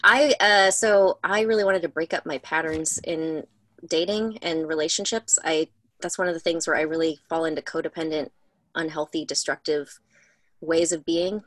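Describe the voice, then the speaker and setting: A woman in her thirties, co-hosting a casual podcast chat in a relaxed, candid way. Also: low voice, dry recording. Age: 30s. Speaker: co-host.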